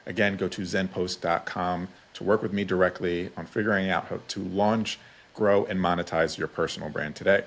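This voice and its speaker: A man with a low voice hosts a podcast interview. He introduces and questions in a conversational style. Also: fairly even intonation, moderate pace.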